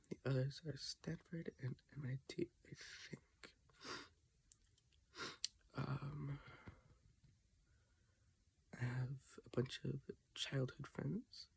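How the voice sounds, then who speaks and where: A teenager talking casually in a personal vlog from their bedroom, halting with restarts and often dropping to a half-whisper. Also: mid-range voice, creaky, darkish tone, husky. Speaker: vlogger. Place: own bedroom, indoors.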